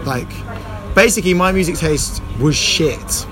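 A man's voice: a little high, unhurried. A man, a band member chatting loosely in an interview outdoors, full of fillers and swearing. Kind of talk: casual interview chat. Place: outdoors in an urban smoking area. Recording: loud music and crowd behind.

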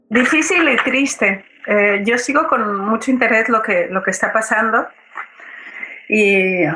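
Bright-voiced woman answering questions thoughtfully. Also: medium pitch, slight background noise, rough recording. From Colombia. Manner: reflective, hesitant, often seeking agreement. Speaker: guest playwright. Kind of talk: conversational interview answers.

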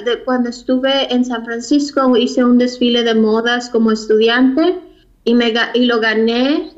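A Mexican woman with a fairly high voice recounts her own path as an interview guest, pausing and searching for words as she reflects. The recording sounds muffled and lo-fi. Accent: Mexican Spanish. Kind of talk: personal storytelling in an interview. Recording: dull lo-fi recording.

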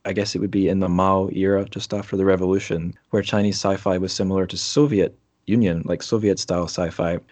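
Low-pitched man hosting a podcast. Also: little pitch movement, a little fast, darkish tone. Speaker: podcast host. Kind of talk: casual interview chat about books.

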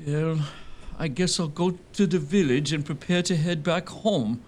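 A man's voice: fairly high pitch, a little slow, darkish tone, lightly acted. A man playing a hapless, timid young suitor, plaintive and comically indignant, in a gothic comedy audio drama. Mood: resigned.

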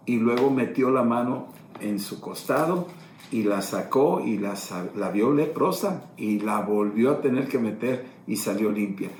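A man's voice: medium pitch, a little slow, darkish tone, slight background noise. A middle-aged man pastor teaching a sermon, explaining and retelling scripture passages. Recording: echoey room, faint ambience.

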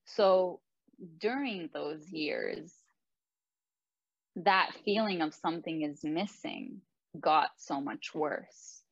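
A woman's voice: deep voice, animated, slow, vocal fry, pauses often, no echo, muffled recording. A young woman from Lebanon telling her own life story, candid and earnest, with frequent "like" and "you know" asides. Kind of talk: personal reflective monologue.

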